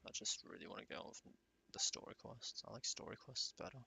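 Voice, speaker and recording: A man's voice: lowish voice, fast talker, gravelly, pushed and bright, slight background noise. A man giving casual let's-play commentary in a bored, grumbling manner. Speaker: game streamer.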